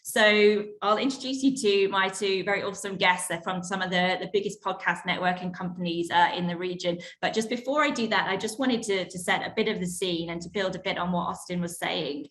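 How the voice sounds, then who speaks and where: A woman with a fairly low voice speaks as a panel moderator. She sets the scene in a prepared, presentational manner. The recording is noticeably roomy and echoey. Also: brisk, few pauses, fairly bright tone. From Western country, living in the UAE as an expat.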